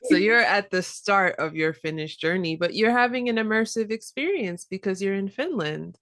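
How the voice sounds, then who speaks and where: A woman hosting an interview podcast about languages, upbeat and quick to laugh. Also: medium pitch, expressive, fairly bright tone, no echo, clean studio sound. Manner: upbeat, laughs often, enthusiastic. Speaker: podcast host.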